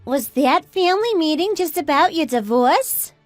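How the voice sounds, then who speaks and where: Woman acting high, swoopy, entitled younger sister-in-law. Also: animated, moderate pace, dry recording, soft background music. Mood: stunned, disbelieving. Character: entitled, self-serving youngest daughter-in-law, easily flustered. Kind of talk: scripted radio drama acting.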